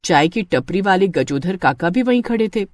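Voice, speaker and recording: A woman's voice: fairly low pitch, expressive, normal speed, dry recording. A woman narrating a scripted moral story.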